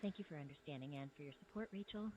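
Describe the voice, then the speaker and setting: A woman's voice: sits low, expressive, very fast, dark tone. A woman speaking in a polished, formal phone manner, effusive, diplomatic and courteous, like a school principal. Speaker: AI prank caller posing as school principal. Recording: dull lo-fi phone call playback.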